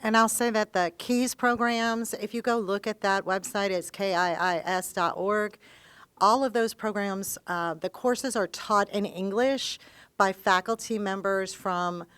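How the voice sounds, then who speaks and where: A woman moderating a panel, brisk and organizing. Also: mid-range voice, steady tone, fairly bright tone, smooth, no room sound.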